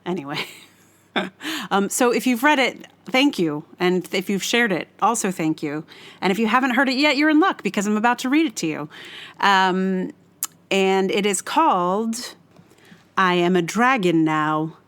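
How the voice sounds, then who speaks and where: A woman's voice: mid-range voice, lively intonation, normal speed, a bit bright. A woman hosting a solo podcast, talking casually in a rambling, hesitant way and often correcting herself as she goes. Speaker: podcast host.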